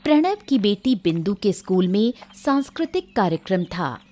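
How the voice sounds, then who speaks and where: Woman narrating a moral story, medium pitch. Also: sing-song, normal speed, mellow.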